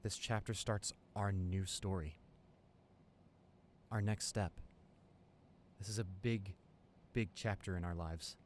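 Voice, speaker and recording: A man playing an excited young college boyfriend in an improvised ASMR roleplay, gushing and halting, repeating himself in sentimental amazement. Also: low-pitched, slight background noise. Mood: sentimental, hopeful.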